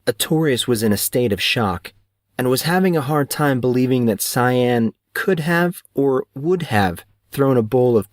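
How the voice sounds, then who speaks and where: A man's voice: medium pitch, no echo, clean studio sound. A man narrating a story as a scripted dramatic audiobook reading.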